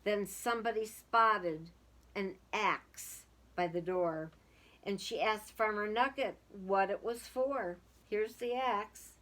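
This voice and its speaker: A woman's voice: mid-range voice, slow pace, fairly bright tone. A woman reading a picture book aloud to young children, dramatic in the story and casual in asides that put questions to the listeners.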